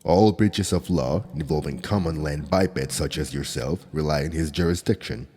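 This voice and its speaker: A man playing a stern male demon enforcer who is gruff but protective, speaking in a measured, scolding way in an ASMR audio roleplay. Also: a little mellow. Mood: gentle. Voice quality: deep gravelly husky voice. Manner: scolding, measured, low-key delivery.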